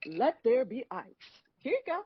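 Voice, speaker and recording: Woman playing husky, wry, older female God. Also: high voice, wildly swooping pitch, normal speed, dry recording, highs cut off, heavily acted. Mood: obliging, wry. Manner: wry, matter-of-fact, theatrical. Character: folksy, unflappable female God as busy caretaker. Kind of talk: live table read acting.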